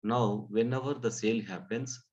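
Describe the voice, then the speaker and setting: A man giving a live software training lesson, explaining step by step in a halting way, often repeating and rephrasing his phrases. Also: lowish voice, fairly even intonation. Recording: dull, muffled lo-fi audio.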